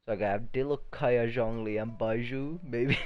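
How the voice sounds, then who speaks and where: A man's voice: medium pitch, expressive, slow, highs cut off. A man giving casual live commentary as a game streamer, chatty and laid-back. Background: game music.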